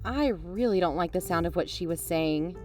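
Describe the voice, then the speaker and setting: A woman's voice: fairly low pitch, lively intonation, moderate pace, darkish tone, soft background music, natural voice. A woman playing a pragmatic documentary-maker and concerned friend in an audio drama, conversational, frank and pressing. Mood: concerned, urgent. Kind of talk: naturalistic audio drama acting.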